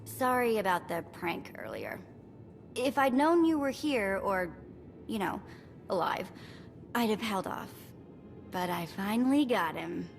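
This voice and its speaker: An actress playing a sassy, playful thief in a video game, talking in a casual, slangy, teasing way. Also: female voice, mid-range voice, big pitch swings, a little slow, creaky, pauses often. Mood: teasing, friendly. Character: sassy, playful female thief, breezy and streetwise. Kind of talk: video game cutscene acting. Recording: long reverb, music behind.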